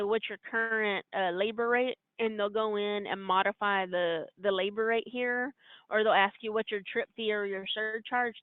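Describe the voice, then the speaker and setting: A woman's voice: mid-range voice, no room sound. A woman giving an informal, step-by-step walkthrough as a trainer on a conference call. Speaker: trainer explaining software steps. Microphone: conference call line. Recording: narrow, tinny conference-call line.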